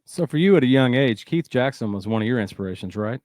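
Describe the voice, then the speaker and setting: A man's voice: medium pitch, expressive, fast, darkish tone, dry recording, clean studio sound. A man hosting an interview podcast, asking questions and chatting casually.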